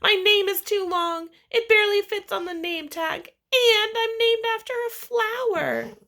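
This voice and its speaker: A woman's voice: very high-pitched, a little slow, pushed and bright, voice pushed up, full character voice. A woman voicing a sensitive little schoolgirl from a children's picture book in a childlike, emphatic way. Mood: upset, pouting.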